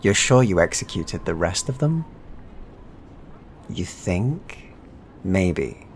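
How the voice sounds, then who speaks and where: A man's voice: deep voice, very animated, slow, slight background noise. A man narrating a tabletop fantasy game as game master, in a descriptive, evocative storytelling manner.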